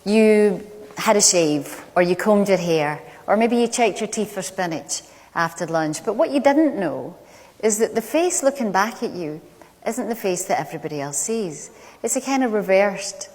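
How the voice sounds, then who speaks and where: A middle-aged woman with a Glaswegian Scottish accent gives a prepared stage talk, telling stories in a measured, conversational way with deliberate pauses. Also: mid-range voice, expressive, a little mellow. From Glasgow, Scotland. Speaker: public speaker. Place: large indoor stage venue. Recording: echoey hall, long reverb.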